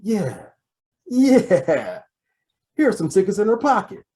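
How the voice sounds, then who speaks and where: Man playing a slow-talking, brusque radio detective. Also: sits high, huge pitch swings, warm tone, heavily acted. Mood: sardonic. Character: brusque, exasperated male police detective, wisecracking. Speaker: voice actor. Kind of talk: old-time radio comedy-mystery acting.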